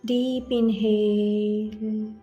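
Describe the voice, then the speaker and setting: A woman leading a guided meditation as a yoga instructor, soothing and gently instructing with long pauses. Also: mid-range voice, level tone, dull audio. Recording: roomy echo. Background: soft music.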